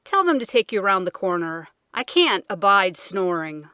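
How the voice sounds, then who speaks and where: A woman's voice: mid-range voice, very animated, normal speed, bright, forceful tone, clean tone, dry recording, clean studio sound. A woman voicing a drowsy, cross old king who speaks solemnly and irritably, in a storybook reading. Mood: grumpy.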